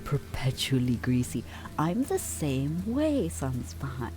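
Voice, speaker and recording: A young adult woman streamer chatting softly with her viewers, drifting in and out of whispers, relaxed and affectionate. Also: extremely low pitch, slow pace, over music. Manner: relaxed, affectionate, reads and answers chat; drifts between soft talk and whispers. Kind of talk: soft-spoken ASMR chat with viewers.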